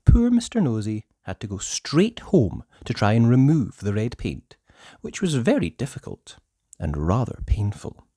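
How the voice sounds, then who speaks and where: Children's book narrator, theatrical and deliberate, very wide swoops of pitch, slow delivery, dry close sound. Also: wildly swooping pitch, husky, no room sound. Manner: theatrical, deliberate, with hushed passages and emphatic words. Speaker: storyteller reading a children's book aloud. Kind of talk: expressive children's story narration.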